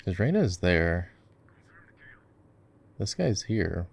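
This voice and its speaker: A man in casual gaming chat for an ASMR roleplay, soft-spoken and murmuring as if to himself. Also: low-pitched, sing-song, slow, creaky, breathy edge. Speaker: voice actor roleplaying a gamer boyfriend. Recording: faint noise with keyboard and mouse clicks. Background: keyboard typing and clicking.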